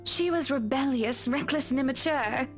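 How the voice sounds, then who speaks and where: Woman to play a confident, refined society lady; high-pitched, prim diction. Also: normal speed, soft background music. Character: poised, genteel wealthy woman, confident and fond. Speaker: game character. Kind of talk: voiced visual novel dialogue.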